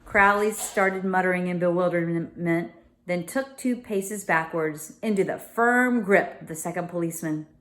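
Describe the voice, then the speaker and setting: A woman's voice: fairly low pitch, moderate pace, a bit bright. A woman reading a children's novel aloud as a storyteller, with casual explanatory asides.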